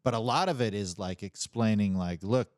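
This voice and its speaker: A man chatting casually as a podcast co-host, explaining things in a rambling, halting way full of casual slang. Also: fairly low pitch, dry recording, clean studio sound. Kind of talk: casual chat.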